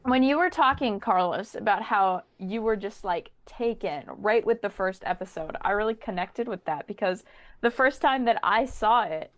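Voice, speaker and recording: A woman's voice: mid-range voice, lively intonation, normal speed. A young woman in her late teens co-hosting a podcast, casually sharing personal anecdotes. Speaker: podcast co-host.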